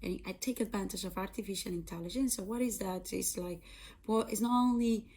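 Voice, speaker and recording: A woman's voice: medium pitch, a little fast, mellow, breathy edge. A woman in her thirties from Colombia with a Colombian Spanish accent, giving an earnest personal talk about her career with frequent fillers and restarts. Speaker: software engineer and data scientist.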